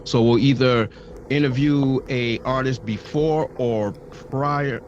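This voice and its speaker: A man's voice: mid-range voice, fairly even intonation, takes it slowly, highs cut off, a little hiss or hum. A man from Brooklyn, New York, a podcast guest explaining things steadily with hesitant ums. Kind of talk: casual interview chat.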